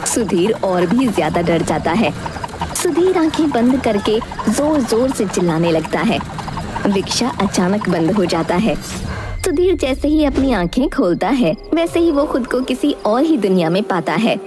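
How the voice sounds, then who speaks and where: Casting: female storyteller for animated horror, very animated intonation, medium pitch, scripted delivery with music behind. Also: unhurried. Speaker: narrator. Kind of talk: scripted dramatic story narration.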